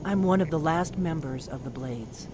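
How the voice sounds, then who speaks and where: A woman's voice: normal speed, background noise, natural voice. A woman voicing a secretive, middle-aged veteran of an old order of guards, speaking in a blunt, conspiratorial video game dialogue. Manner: blunt, conspiratorial, low intense delivery. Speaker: game character. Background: game music.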